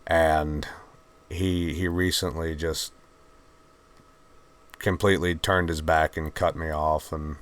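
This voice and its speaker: A man with a mixed Virginian and Georgian Southern accent, hosting his channel and talking in a subdued, halting, heartfelt way. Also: very deep voice, little pitch movement. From Virginia, USA. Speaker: channel host. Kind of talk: reflective personal talk to camera.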